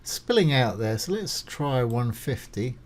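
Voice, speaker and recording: A man's voice: mid-range voice, big pitch swings, measured pace, slight background noise. A man presenting a casual step-by-step screencast tutorial, informal and reassuring as he explains each step while doing it. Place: voice-over of a screen recording.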